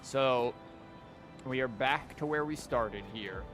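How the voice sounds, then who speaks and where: A man's voice: medium pitch, slow, fairly bright tone, faint music behind. A man giving casual livestream commentary on a game, rambling informally and thinking aloud with false starts. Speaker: video game streamer.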